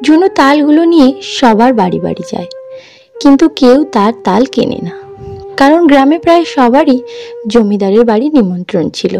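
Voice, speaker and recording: Lady narrating a fairy tale cartoon, high soft mellow voice, music behind. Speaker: storyteller.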